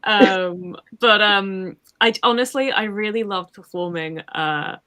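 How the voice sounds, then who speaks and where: Bright-voiced, giggly woman chatting. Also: mid-range voice, slow. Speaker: voice actor guest on a cast Q&A. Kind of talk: casual livestream chat.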